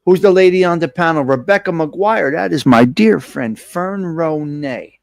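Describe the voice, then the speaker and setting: A man's voice: fairly high pitch, gravelly, a little mellow, dry recording, clean studio sound. A man with a North Jersey accent hosting a casual live talk show, bantering and reading out viewer comments. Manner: chatty, quick asides, jokey banter with viewers.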